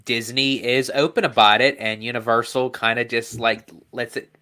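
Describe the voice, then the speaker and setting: An easygoing man co-hosting a podcast, sounding nasal and stuffed up. His voice has a bright, forward edge. He laughs often as he talks. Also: mid-range voice, steady tone, normal speed. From Pennsylvania. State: stuffy from allergies. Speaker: podcast co-host. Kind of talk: casual chat.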